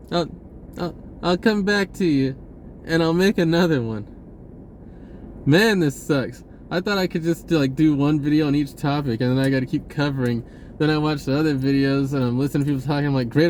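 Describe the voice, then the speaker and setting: A man talking casually in a rambling spiritual monologue, halting and often interrupting himself, in an informal way. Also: fairly high pitch, a little mellow, a little hiss or hum. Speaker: spirituality vlogger.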